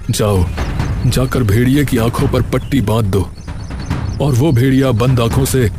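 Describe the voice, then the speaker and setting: A man playing a stern, authoritative village landlord with rigid rules in an animated moral story, speaking in a measured way. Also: medium pitch, noisy background, close to an everyday voice. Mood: troubled, deciding.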